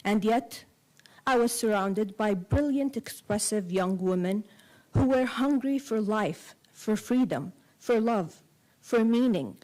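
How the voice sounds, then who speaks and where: Measured woman novelist reading her essay. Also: mid-range voice, animated, darkish tone, low-quality recording. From Kuwait and Saudi Arabia. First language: Arabic. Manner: measured, deliberate phrasing in short cadenced clauses. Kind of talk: reading a prepared essay aloud.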